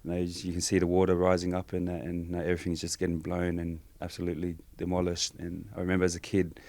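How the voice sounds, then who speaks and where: A Samoan-born man, a Samoan speaker, answering interview questions softly and hesitantly with many fillers. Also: low-pitched, completely flat delivery, quick pace, dry recording. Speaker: guest.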